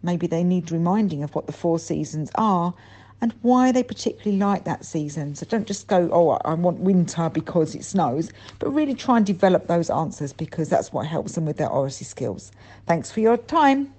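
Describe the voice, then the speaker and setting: Warm-toned woman heard from a distance. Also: lowish voice, lively intonation, moderate pace. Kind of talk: advice to parents.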